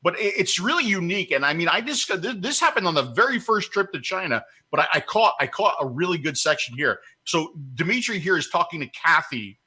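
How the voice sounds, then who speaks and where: A man of fifty hosting a casual show, joking and animated. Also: sits high, fairly quick, hardly any pauses, husky. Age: 50s. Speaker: host.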